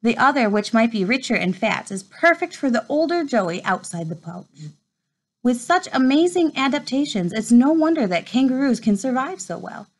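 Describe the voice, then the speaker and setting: A woman in her thirties reading a children's nature book aloud in an expressive storytime manner, recorded at home. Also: on the high side, normal speed, rough recording. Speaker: read-aloud host. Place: small room at home, indoors.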